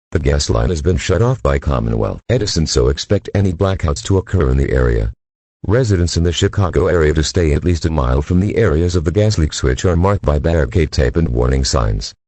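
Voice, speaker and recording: A man's voice: very deep voice, darkish tone, husky, no echo. A synthetic-sounding man reading a scripted emergency alert in a formal manner.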